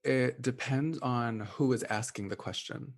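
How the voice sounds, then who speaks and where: A man co-hosting a podcast in easygoing, measured casual chat. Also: mid-range voice. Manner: easygoing, measured, reads listener letter. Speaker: podcast co-host.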